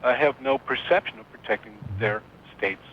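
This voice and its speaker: Man, medium pitch, radio call-in guest, formal wording with pauses, dull phone sound with faint rumbling noise. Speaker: caller to radio show. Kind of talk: conversational political discussion. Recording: noisy dull call-in line, low rumble.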